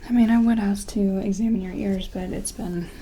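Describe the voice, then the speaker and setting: Softly creaky woman, ASMR hush. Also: mid-range voice, fairly even intonation, normal speed, a little hiss or hum. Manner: gentle, tentative, clinical explaining. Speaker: ASMR performer playing a sci-fi examiner. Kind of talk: soft-spoken and whispered ASMR roleplay.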